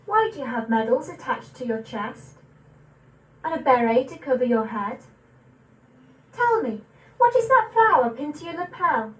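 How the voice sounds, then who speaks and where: A young woman of eighteen playing a curious, innocent little granddaughter, asking questions in a measured verse recital. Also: sits high, very animated, echoey room, far from the mic, poor audio. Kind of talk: dramatic poetry recital.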